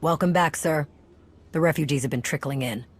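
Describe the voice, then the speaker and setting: A woman playing a brisk, low-voiced army sergeant. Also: a little hiss or hum, lightly acted. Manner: crisp, dutiful. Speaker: soldier. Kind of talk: video game cutscene acting.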